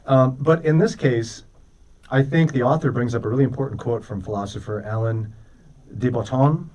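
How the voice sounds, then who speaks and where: A man's voice: mid-range voice, a little fast, darkish tone, recorded from a distance. A man co-hosting a radio show with casual, conversational commentary, often checking in with his listener through rhetorical questions. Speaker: morning radio co-host.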